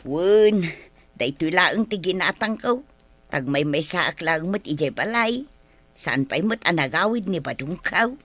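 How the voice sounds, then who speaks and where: A woman's voice: on the low side, expressive, a little slow, a bit bright, no room sound. A woman playing a flustered, evasive village woman in a comedic drama, with an Ilocano accent and hesitant, interjection-filled speech. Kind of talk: comedic radio-style drama acting.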